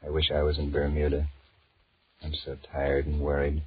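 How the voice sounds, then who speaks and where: A man's voice: very deep voice, monotone, normal speed, husky, heavily acted. An actor in a 1940s radio drama playing a weary, restless married businessman who grumbles and wheedles as he flirts with his secretary. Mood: weary, wistful. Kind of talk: 1940s radio drama acting, inner monologue and dialogue. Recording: muffled, hissy 1940s radio recording.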